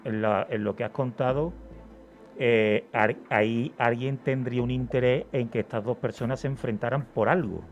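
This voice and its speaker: A man's voice: mid-range voice, steady tone, moderate pace. A man chats casually on a radio panel, questioning and thinking aloud in a tentative way. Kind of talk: casual studio discussion. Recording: dull lo-fi radio audio with music.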